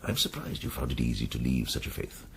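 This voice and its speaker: An actor in a radio drama playing a worldly old monk and former crusader, gruff but tender, with a gravelly, halting, reflective delivery. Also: male voice, extremely low pitch, wildly swooping pitch, a little hiss or hum, full character voice. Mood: hesitant, probing.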